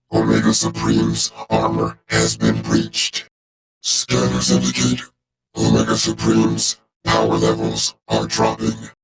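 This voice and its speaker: A menacing robot war machine from a video game, speaks in a low, heavily gravelled voice through a vocoder filter. It reports like a cold, calculating officer, formal and deadpan. The pitch jumps oddly between words while the manner stays clipped and emotionless. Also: male voice, heavily acted, rough recording. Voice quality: gravelly, droning robotic timbre. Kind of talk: video game character voice lines.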